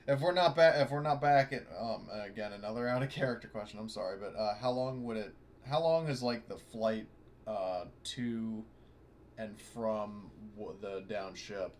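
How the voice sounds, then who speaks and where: A man with a fairly low voice talks casually through a tabletop role-playing session. He rambles and corrects himself as he goes, and faint noise sits behind his voice on the online call. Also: moderate pace. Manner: rambling, hesitant, frequently self-correcting. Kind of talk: casual in-character and out-of-character game talk. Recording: clearly noisy online voice chat.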